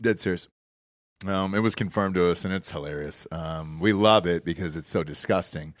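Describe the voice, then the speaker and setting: A man's voice: sits low, moderate pace, no echo. A man hosting a podcast, joking in laid-back casual chat. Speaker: podcast host.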